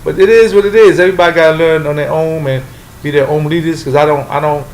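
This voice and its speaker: A man's voice: a little high, steady tone, fast. A man from the Bronx with a New York accent, speaking bluntly and casually as the guest in an interview at home. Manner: blunt, street-talking, emphatic.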